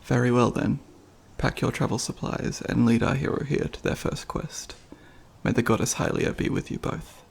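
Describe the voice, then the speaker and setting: Man acting a creaky, solemn mystical monk. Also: mid-range voice, fairly even intonation. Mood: calm, instructing. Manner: measured, formal, reverent. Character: solemn mystical Sheikah monk elder, devout storyteller. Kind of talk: ASMR fantasy roleplay.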